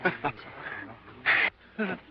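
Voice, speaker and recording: An actor playing a polite, timid young gentleman who talks slowly and stammers when nervous. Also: medium pitch, very animated, background noise. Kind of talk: 1930s film acting. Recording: dull old film soundtrack.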